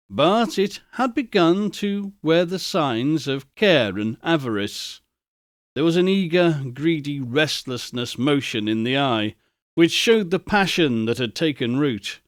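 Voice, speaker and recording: A man's voice: medium pitch, no echo, clean studio sound. A man reading a literary story aloud as a dramatic narrator. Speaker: narrator, audiobook reader.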